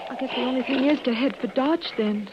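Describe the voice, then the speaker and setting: High-voiced anxious frontier wife, played by an actress. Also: female voice, close to an everyday voice. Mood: distressed, pleading. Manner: pleading, halting, emotional. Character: anxious, weary frontier wife, pleading and reluctant. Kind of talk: 1950s radio western acting. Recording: muffled, noisy old radio recording.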